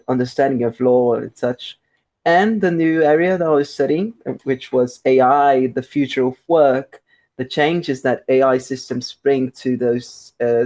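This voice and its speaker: A man's voice: medium pitch, darkish tone. A young man from Brazil with a Brazilian Portuguese accent, answering interview questions in a conversational way with frequent restarts and fillers, speaking from home on a remote call. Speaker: guest researcher.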